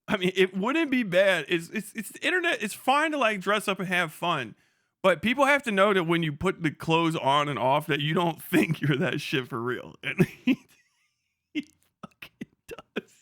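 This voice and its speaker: A man's voice: sits high, lively intonation, normal speed, sharp tone, no room sound, clean studio sound. A young man streaming live, commenting casually and laughing a lot while he mocks an online figure with plenty of swearing. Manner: profane, laughing often, mocking.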